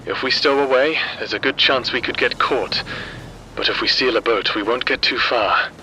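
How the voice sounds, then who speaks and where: Man as sharp, forceful escaped prisoner narrating. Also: mid-range voice, moderate pace, slight background noise, low-quality recording. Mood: calculating. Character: weary, calculating male prison escapee narrating his thoughts. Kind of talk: dramatic inner-monologue narration in audio drama. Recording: music under the voice.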